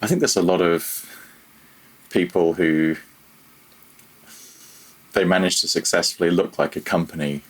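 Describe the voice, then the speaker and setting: A man with a low, slightly rough voice talks casually as an interview guest. He thinks aloud as he goes, starting and restarting, and tends to play himself down. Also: poor audio. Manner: halting, self-deprecating, thinking aloud. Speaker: interview guest, freelance audio developer.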